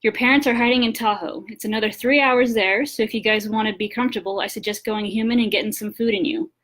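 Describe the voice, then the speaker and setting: A woman's voice: fairly high pitch, steady tone, quick pace, fairly bright tone, low-quality recording. Casting: jaded undercover shapeshifter for an audiobook, read by a woman, impatient, blunt, used to giving orders. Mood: reassuring, matter-of-fact. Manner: blunt, bored, commanding.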